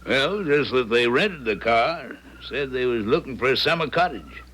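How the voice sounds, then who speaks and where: An American actor playing an easygoing small-town garage man with a creaky voice, folksy and helpful, in a 1970s radio drama. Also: medium pitch, animated, normal speed, sharp tone.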